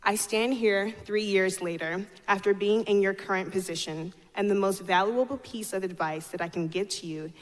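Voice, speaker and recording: A woman's voice: mid-range voice, moderate pace, few pauses. Young woman speaking in an echoing auditorium. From Ghana, grew up in North Carolina, United States. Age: 20s. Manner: formal, reading from notes. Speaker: student speaker. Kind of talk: prepared ceremonial speech. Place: large auditorium stage at a podium. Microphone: podium microphone. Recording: echoey auditorium with long reverb.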